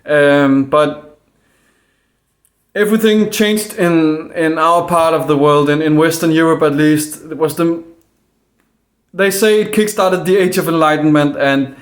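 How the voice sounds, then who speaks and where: Man with a Danish accent, slightly high voice, unscripted to camera, stumbling and restarting but calm. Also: unhurried. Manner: hesitant, many fillers and restarts; calm, earnest. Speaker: independent video maker. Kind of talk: casual monologue to camera.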